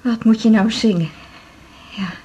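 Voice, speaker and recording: A woman's voice: on the high side, unhurried, mellow, breathy edge, poor audio. A middle-aged woman acting a nervous, confiding wife of a patient in a 1980s radio drama, talking in a homely, hesitant way. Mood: fretful.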